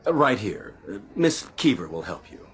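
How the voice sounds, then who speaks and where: An actor playing a fussy, pompous department store floor manager in a radio drama, with an officious, clipped manner. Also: male voice, fairly low pitch, big pitch swings, normal speed, slight background noise.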